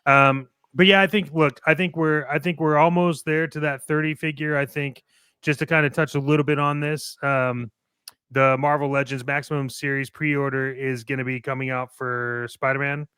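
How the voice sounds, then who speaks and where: A man's voice: medium pitch, flat delivery, moderate pace, sharp tone, clear, resonant tone, no room sound. A man co-hosting a hobby show, chatting informally and stressing his points by repeating them. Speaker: co-host of a toy collecting show. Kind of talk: casual chat and opinion.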